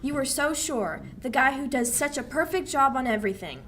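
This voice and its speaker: A young woman playing a sharp, accusing, challenging woman in a 1940s radio play. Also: high-pitched, lively intonation, brisk, slight background noise. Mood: taunting. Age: young adult. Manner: biting, challenging.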